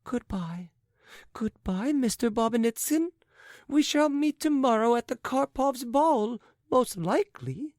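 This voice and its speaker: A woman's voice: a little high, expressive, unhurried, a little mellow, dry recording, studio quality. A woman voicing a flighty, chattering society lady with breathless, fluttery speech. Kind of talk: audiobook character voice.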